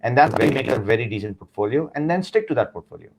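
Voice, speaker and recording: A man's voice: medium pitch, big pitch swings, fast, gravelly, noisy background. A man with a Pakistani accent, a guest who gives blunt, emphatic advice in casual interview talk and mixes in Urdu phrases. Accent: Pakistani English.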